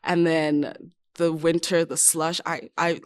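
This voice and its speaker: A woman's voice: deep voice, flat delivery, slow, clean tone. A young woman from Zimbabwe, a guest in a casual interview, talking in a chatty way with many "like" fillers. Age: young adult.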